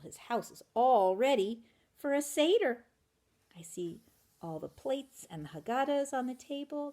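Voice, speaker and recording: A woman reading a children's picture book aloud in an animated way, stopping to ask listeners questions. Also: a little high. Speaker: children's storytelling teacher.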